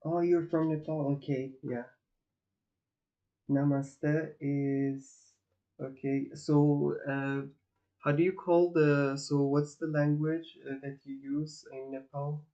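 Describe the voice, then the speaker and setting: A man from northwest Iran chats casually with his livestream viewers, a native Azeri and Farsi speaker. He talks slowly, keeps a flat, even pitch, and stops often to hunt for the right word. The audio is slightly dull and lo-fi. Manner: hesitant, searching for words, many restarts and fillers. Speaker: livestream host. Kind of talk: casual chat with stream viewers.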